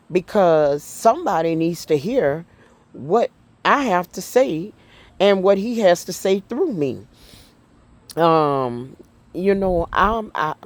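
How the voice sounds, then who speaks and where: A middle-aged woman vlogging in an earnest, heartfelt way, with halting speech full of fillers and restarts. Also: fairly low pitch. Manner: heartfelt and faith-driven. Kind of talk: casual personal talk to camera.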